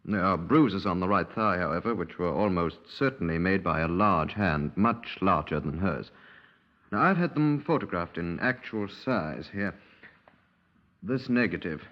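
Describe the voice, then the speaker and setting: A cautious British police doctor in an old radio drama. He is clinical and formal, with a low voice. The sound is dulled like 1950s radio. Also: animated, unhurried. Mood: clinical, precise. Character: male police medical examiner, precise, cautious, formal. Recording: dull 1950s radio recording.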